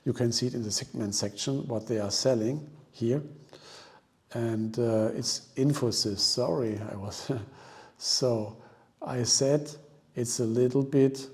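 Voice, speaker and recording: Casting: male presenter, soft mellow tone, mid pitch, informal and slightly halting analysis walkthrough. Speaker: host of a stock investing channel. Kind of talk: informal explanatory stock analysis commentary.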